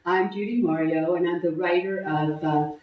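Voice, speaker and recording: A woman's voice: fairly low pitch, fairly even intonation, moderate pace, muffled recording. An older woman from Texas, a guest speaker and author, telling her life story in a casual interview. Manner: chatty storytelling with easy laughs. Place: live-stream interview set. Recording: echoey room, mic far off.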